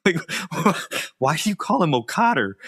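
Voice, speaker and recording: A man's voice: on the high side, big pitch swings, normal speed, fairly bright tone, husky, dry recording, clean studio sound. A middle-aged man with a Midwestern accent co-hosting a podcast, joking and swearing with frequent laughter. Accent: Midwestern American. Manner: laughs often, profane and jokey. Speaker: podcast co-host. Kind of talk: casual chat.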